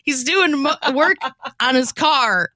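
A woman's voice: high voice, expressive, takes it slowly, pushed and bright, no echo, studio quality. A woman co-hosting a podcast in playful casual chat, with a joking, giggly manner. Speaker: podcast host.

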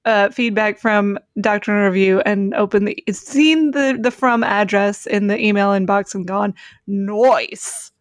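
A woman's voice: mid-range voice, unhurried, no echo, studio quality. A woman co-hosting a podcast, chatting casually and explaining things from experience. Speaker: podcast co-host.

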